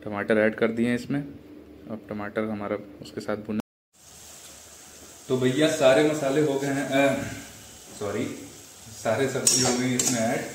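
A man's voice: medium pitch, fairly even intonation, a little slow, pauses often. A young man, chatting casually to viewers while cooking in a small home kitchen. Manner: chatty, addresses viewers as brother. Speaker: cooking vlogger. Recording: echoey room with faint ambient noise.

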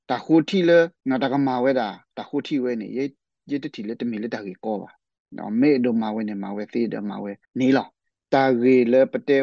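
A man's voice: mid-range voice, creaky, mellow, no echo. A man speaking off the cuff in spontaneous commentary on a radio programme. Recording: slightly muffled shortwave radio programme audio.

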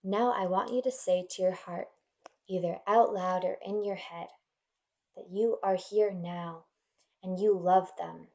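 A woman leading a guided meditation in a gentle, soothing, measured manner, leaving pauses between instructions. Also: fairly low pitch, steady tone, highs cut off.

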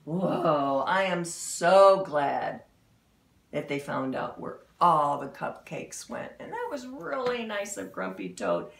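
Animated, slow-paced woman storyteller, creaky voice. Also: on the low side. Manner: expressive, exclamatory, with dramatic pauses and asides. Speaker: children's picture-book reader. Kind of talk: animated read-aloud storytelling for young children. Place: small indoor room at home. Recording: roomy home recording, slightly distant mic.

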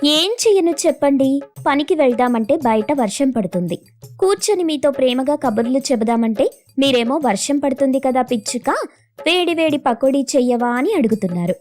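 Woman acting a little sparrow wife, very high pitch, evasive, whiny, stubborn, cartoon dialogue. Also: animated, moderate pace, a little mellow, soft background music. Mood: stubborn, put-upon. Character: reluctant, evasive wife sparrow who feigns innocence. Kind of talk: children's cartoon story dialogue.

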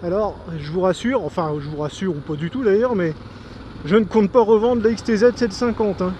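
High-voiced Frenchman talking over loud noise. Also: brisk, muffled recording. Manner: enthusiastic, informal, thinking aloud. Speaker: motovlogger. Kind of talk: casual vlog commentary while riding a motorcycle. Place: outdoors on a moving motorcycle on country roads. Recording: noisy outdoor recording with engine and wind.

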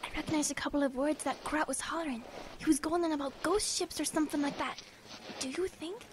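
A woman playing an excited young English boy in a wartime audio drama, hushed and urgent. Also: sits high, fast, background noise, natural voice.

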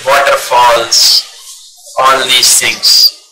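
A man's voice: mid-range voice, level tone, extremely slow, roomy sound, loud music behind the voice, rough recording. A man in his fifties from India, teaching an online English lesson over a video call, patiently repeating and explaining. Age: 50s.

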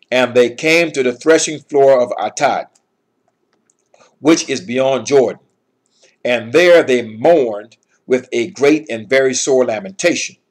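A middle-aged man with a husky voice giving an informal scripture lesson in an emphatic, admonishing manner. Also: medium pitch. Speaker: Bible teacher.

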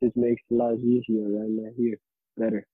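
A teenage young man, speaking over a tinny phone-like line. His voice sounds husky and dry, and he talks hesitantly, often repeating himself as he answers. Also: medium pitch, moderate pace, no room sound. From Somalia. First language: Somali. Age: teens. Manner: hesitant, repeats and restarts phrases. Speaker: interviewee, high school student. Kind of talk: casual interview answers. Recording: tinny phone line, faint radio noise.

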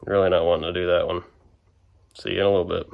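A man casually narrating his work on a truck in his garage shop, relaxed and rambling as he talks while working. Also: sits low, fast talker, creaky, phone-quality sound, over loud music.

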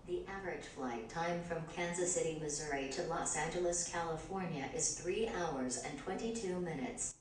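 Lady doing flat factual ai assistant, very low for a woman, super echoey. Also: moderate pace, few pauses, close to an everyday voice. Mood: neutral. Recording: very echoey, distant, faint noise.

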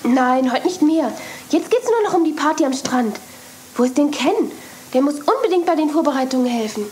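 A woman's voice: high voice, animated, normal speed, darkish tone, slight background noise. An actress playing an upbeat, eager young woman planning a party, in an audio drama. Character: cheerful, enthusiastic young woman hostess. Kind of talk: 1980s radio play acting.

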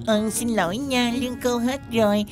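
A woman's voice: on the high side, fairly even intonation, moderate pace, over music, natural voice. A woman voicing a polite, mild young team-mate in a Vietnamese cartoon dub, with an apologetic manner. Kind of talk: Vietnamese-dubbed cartoon acting.

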